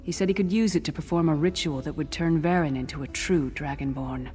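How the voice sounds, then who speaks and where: An actress playing a battle-worn, earnest female warrior companion in a fantasy video game, telling things gravely. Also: low-pitched, fairly quick, dark tone, soft background music, natural voice. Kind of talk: video game character dialogue.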